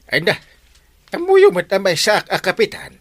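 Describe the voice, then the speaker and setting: Excitable village captain, hugely swinging pitch, squealing outbursts, dry close sound, comedy drama. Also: normal speed, no room sound. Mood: whiny, amused. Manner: starts sentences with "eh", bursts into whoops and laughs. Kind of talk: comedy radio drama acting.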